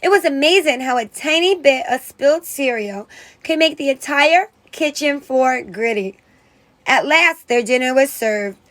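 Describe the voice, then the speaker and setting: High voiced lady teacher doing an expressive storybook read-aloud, dramatic emphasis. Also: a little slow. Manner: dramatic emphasis on exclamations and character lines.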